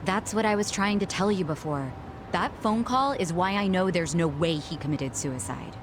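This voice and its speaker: A blunt, guarded young bartender from a video game drama, acted by a woman. Her female voice sits on the low side and she speaks frankly and curtly, with a clear vehicle rumble behind her. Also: lively intonation, moderate pace, close to an everyday voice. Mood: worried, earnest. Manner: curt, frank, dismissive. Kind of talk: English video game dub acting.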